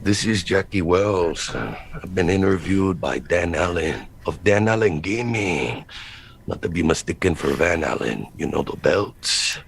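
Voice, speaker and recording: An easygoing cyberpunk street tough, voiced by a man, very deep and slow. The delivery is animated, with a gravelly edge and a little background noise. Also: heavily acted. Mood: relaxed, friendly.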